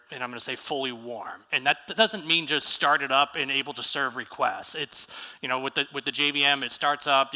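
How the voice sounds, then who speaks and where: Bright-voiced American man on a hall stage. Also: mid-range voice. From United States. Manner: casual, quizzes the audience with shows of hands. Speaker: conference speaker. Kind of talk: live tech conference talk. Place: large conference hall stage. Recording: reverberant hall.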